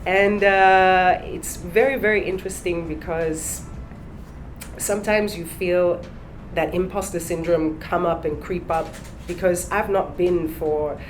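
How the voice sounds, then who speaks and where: A woman's voice: fairly low pitch, fairly even intonation, a little slow, a little hiss or hum. A middle-aged woman, a trainer, chatting earnestly on a panel and telling her own story. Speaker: guest trainer. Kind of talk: casual panel chat.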